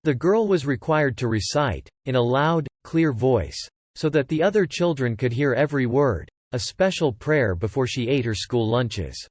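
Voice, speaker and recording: A man narrating a scripted audiobook story in a measured storytelling manner. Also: medium pitch, expressive, no echo.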